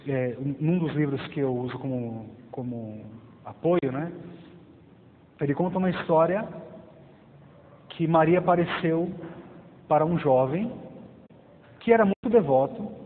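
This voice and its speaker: Thin-sounding man speaking in an echoing hall. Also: on the high side. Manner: prays softly with eyes closed, then lectures with rising emphasis and tag questions. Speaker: lay formation speaker. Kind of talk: opening prayer, then church formation talk. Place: small parish hall with plain walls. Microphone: lapel mic. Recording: reverberant hall, thin phone-like sound, faint hiss.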